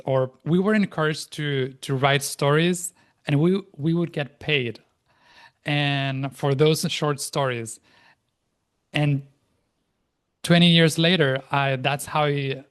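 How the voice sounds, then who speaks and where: A man in his thirties from Argentina, a native Spanish speaker, talking reflectively as an interview guest with frequent restarts and pauses. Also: medium pitch, clear, resonant tone. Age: 30s. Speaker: interview guest, life and language coach. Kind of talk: casual interview conversation.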